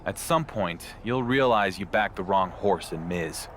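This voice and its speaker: A man's voice: deep voice, unhurried, a little hiss or hum. A man voicing a cocky, unflappable pro wrestling champion in a video game cutscene, with dry, sarcastic delivery. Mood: smug, mocking.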